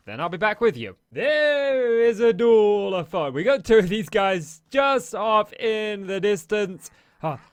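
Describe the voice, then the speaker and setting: A man hosting a let's play, chatting casually and narrating each move as he plays, his voice a little hoarse. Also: high voice, no echo. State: slightly hoarse, losing his voice. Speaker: Minecraft let's play host. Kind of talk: casual gameplay commentary.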